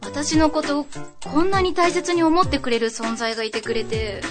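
A trainee voice actress playing an exasperated but affectionate young woman in a conversational radio drama, earnest and quick to retort. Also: high-pitched, fairly even intonation, over music, lightly acted. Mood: touched, grateful.

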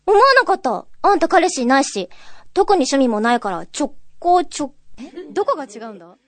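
A woman's voice: sits high, very animated, a little fast. A young woman voice-acting trainee rehearsing drama lines, repeating them with a giggly, puzzled manner. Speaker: aspiring voice actress rehearsing lines.

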